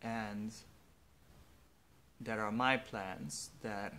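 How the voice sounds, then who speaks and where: A young man, a native Hungarian speaker, speaking slowly and earnestly in a reflective personal monologue with faint background noise. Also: fairly low pitch, fairly even intonation, pushed and bright. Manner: slow, thoughtful, earnest. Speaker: vlogger.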